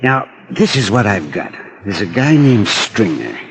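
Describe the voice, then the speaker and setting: An actor playing a shifty, wary American underworld informant in a 1940s radio drama, talking in streetwise slang. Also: male voice, fairly low pitch, very animated, unhurried. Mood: conspiratorial. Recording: old radio recording with faint noise.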